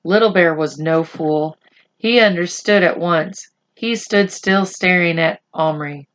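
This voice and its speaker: A woman reading a children's novel aloud to young listeners as an expressive storyteller. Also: on the low side, unhurried.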